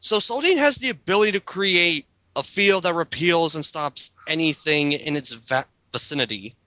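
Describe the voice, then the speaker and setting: A man's voice: on the high side, moderate pace, sharp tone, no echo. A man doing a dramatic reading of a written character bio, breaking into casual commentary as host.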